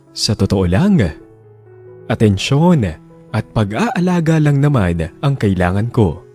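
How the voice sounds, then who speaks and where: A man narrating a radio drama in a measured, reflective way. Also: medium pitch, a little mellow.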